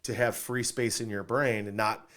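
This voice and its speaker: A man's voice: on the low side, steady tone, normal speed, fairly bright tone. A man hosting a podcast in an upbeat interview style, enthusiastic and affirming as he talks. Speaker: podcast host.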